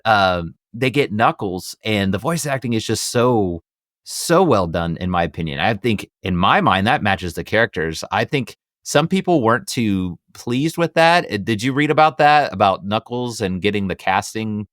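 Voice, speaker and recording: A man's voice: lowish voice, lively intonation, moderate pace, fairly bright tone, dry recording, studio quality. A man hosting a casual film discussion, talking in an enthusiastic, conversational fan's way. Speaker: podcast host.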